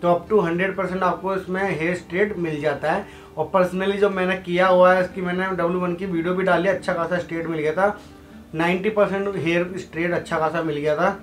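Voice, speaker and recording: Young man, high voice, chatty tutorial instructor, faint music behind. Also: steady tone, fairly quick. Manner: chatty, repeats "guys" and fillers. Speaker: hair treatment instructor. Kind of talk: casual tutorial explanation. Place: indoor studio with blue lights.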